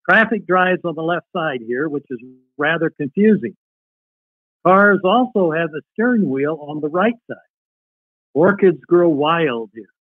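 A man's voice: a little high, dry recording. Older man on a phone-like line. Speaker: podcast guest reading a relative's wartime letters. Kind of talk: interview talk and reading old letters aloud. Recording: tinny, phone-like call audio.

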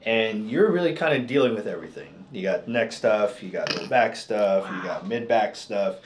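A man's voice: lowish voice, expressive, normal speed. A man in his thirties, a chiropractor talking casually and explaining things to a patient. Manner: informal, explanatory. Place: small indoor treatment room. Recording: clearly noisy small treatment room.

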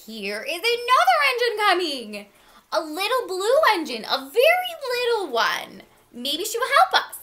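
Woman as an eager, high-pitched little toy clown. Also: wildly swooping pitch, a little slow, bright, forceful tone, exaggerated voice. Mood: excited, hopeful. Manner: eager, pleading, exclaiming. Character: small excitable hopeful toy clown and pleading toys.

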